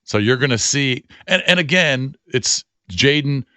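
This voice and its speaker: A man's voice: mid-range voice, lively intonation, unhurried, pushed and bright, no echo. A man co-hosting a sports radio show, making emphatic points in casual talk and repeating himself.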